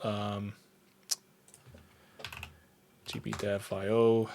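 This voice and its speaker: Low-voiced German man chatting casually on stream. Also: monotone, takes it slowly, gravelly, darkish tone, a little hiss or hum. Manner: easygoing, self-deprecating, chuckles often. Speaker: live coding streamer. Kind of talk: casual livestream chat while programming. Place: indoors at a computer desk.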